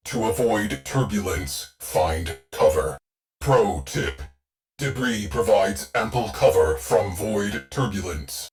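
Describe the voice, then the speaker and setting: Deadpan game spaceship ai, low male voice, terse and bossy, music behind it. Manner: clipped, deadpan, commanding. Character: terse, stern male shipboard AI, dry military efficiency. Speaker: ship AI giving mission alerts. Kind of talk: scripted game voice lines, clipped announcements.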